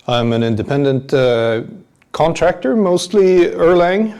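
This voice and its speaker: A man from Sweden, a programmer talking as a guest in a casual interview at a conference, halting with many pauses and small chuckles. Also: on the high side, sing-song, darkish tone. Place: conference venue.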